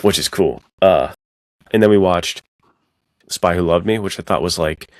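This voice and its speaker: A man's voice: low-pitched, normal speed, darkish tone, dry recording, studio quality. A man co-hosting a podcast in casual chat, talking with enthusiastic, laid-back bro slang. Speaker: podcast co-host. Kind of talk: casual chat about spy films.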